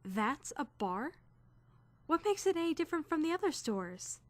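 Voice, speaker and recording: A woman's voice: sits high, sing-song, moderate pace, no echo. A woman voicing an earnest, indignant teenage girl student who is rigidly rule-bound, speaking formally and emphatically in a dramatic visual novel fan dub. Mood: wary, reflective. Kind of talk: dramatic first-person visual novel narration and dialogue.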